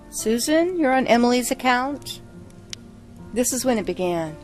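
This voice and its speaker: Low-voiced woman narrating horror. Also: a little mellow. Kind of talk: scripted first-person horror story narration.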